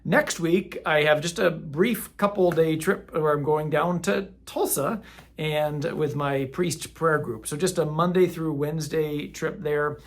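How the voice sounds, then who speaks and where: A man's voice: a little high, unhurried. A middle-aged man, a parish priest, giving casual, rambling parish announcements and correcting himself as he goes. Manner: rambling, self-correcting, friendly.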